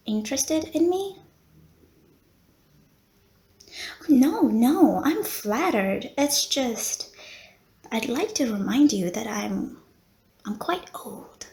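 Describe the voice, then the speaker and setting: Unhurried, courteous lady vampire nurse, acted by a woman. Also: high-pitched, a little mellow, exaggerated voice. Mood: flustered, hesitant. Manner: genteel, formal wording with coy hesitations. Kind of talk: roleplay audio acting, addressed to the listener.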